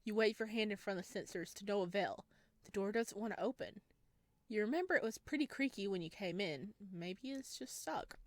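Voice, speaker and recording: A woman's voice: mid-range voice, brisk, no room sound. A woman playing a horror visual novel, reading its second-person narration aloud and adding asides to her viewers. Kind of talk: reading game text aloud with commentary.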